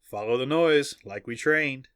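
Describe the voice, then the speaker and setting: A man's voice: medium pitch, measured pace, fairly bright tone, clean tone, no echo, studio quality, natural voice. A man voicing a modest, nonchalant male champion fighter in an audiobook, understated and offhand. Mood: steady. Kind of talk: audiobook character dialogue.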